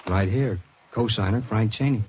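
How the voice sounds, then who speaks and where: A man's voice: on the low side, moderate pace, over loud music, close to an everyday voice. A man playing an obliging, formal bank loan manager in a 1950s radio drama, precise and businesslike. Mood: taken aback, proper. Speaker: supporting actor. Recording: muffled old radio recording, background noise.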